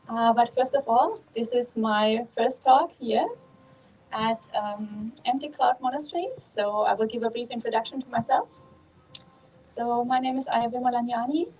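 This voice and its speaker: A woman from Germany with a German accent, a Buddhist nun giving a calm, measured dharma talk at a monastery, pausing often with ums. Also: a little high, husky, rough recording.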